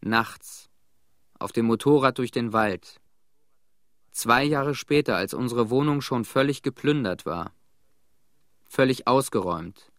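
An actor playing a haunted, quietly bitter middle-aged family man, giving deliberate testimony in a radio play. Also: mid-range voice, no room sound, clean studio sound, natural voice. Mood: agitated, bitter.

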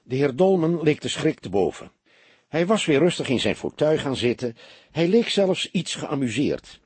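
A man reading an audiobook as narrator, in an expressive scripted style. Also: fairly high pitch, normal speed, husky, no echo.

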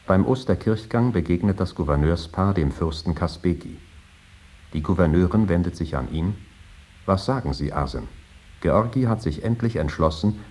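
A man with a husky voice reading scripted documentary narration in a terse, matter-of-fact manner. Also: low-pitched, a little mellow.

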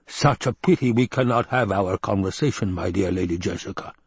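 A man voicing an obese, sinister male baron with a rumbling basso voice, slow and taunting, in an audiobook. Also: breathy edge, dry recording. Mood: gloating. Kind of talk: audiobook character voice.

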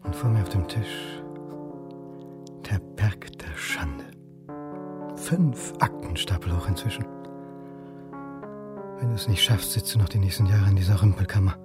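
Weary, self-doubting academic, music underneath. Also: male voice, sits low, unhurried, pauses often. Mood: self-loathing. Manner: dry, terse, self-deprecating. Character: self-doubting history lecturer around forty, dry, weary. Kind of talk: radio drama acting.

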